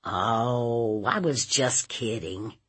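A woman's voice: a bass voice, extremely slow, fairly bright tone, breathy edge, heavily acted. A woman voicing a sullen, evasive seventeen-year-old boy in a story, giving short, defensive answers. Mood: sheepish.

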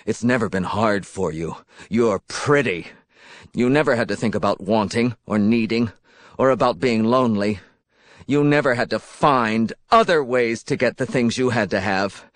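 A man's voice: fairly low pitch, normal speed, dry recording, lightly acted. A man voicing a hateful, self-pitying young man, a jilted obsessive lover in a story, bitter and accusing. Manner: bitter, accusing, pleading, worked up.

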